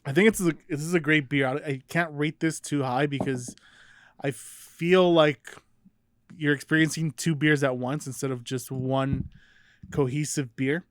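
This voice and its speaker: A man co-hosting a casual beer podcast, hesitant and thoughtful, filling pauses with "um". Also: fairly high pitch, fairly even intonation, no room sound, clean studio sound. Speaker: podcast co-host. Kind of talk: casual chat, beer review.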